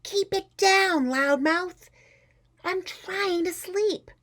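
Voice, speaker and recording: Woman acting an irritated, high-pitched shouter. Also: extremely slow, exaggerated voice. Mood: angry, yelling. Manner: yelling complaints. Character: irritated shouting neighbors and schoolchildren, fed up. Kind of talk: read-aloud children's storybook character.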